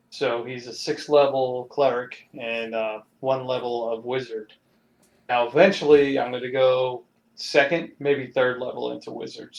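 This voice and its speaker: A man playing in a tabletop game, talking in a relaxed, easygoing way over voice chat. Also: mid-range voice, vocal fry, reverberant. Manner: relaxed, easygoing, drops "man" and "you know". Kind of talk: casual chat. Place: remote call over voice chat.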